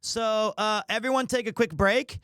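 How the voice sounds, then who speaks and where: A man's voice: high-pitched, moderate pace, fairly bright tone, smooth, no room sound. A man hosting a livestream, talking casually and jokingly. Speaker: livestream host. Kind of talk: casual stream chat.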